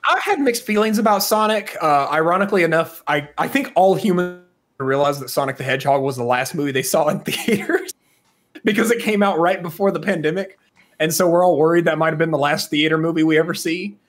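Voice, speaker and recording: A man's voice: on the high side, a little fast. A man from the southern US with an American accent, co-hosting a podcast in a casual, joking, opinionated way. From southern United States. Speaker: podcast co-host. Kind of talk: casual chat.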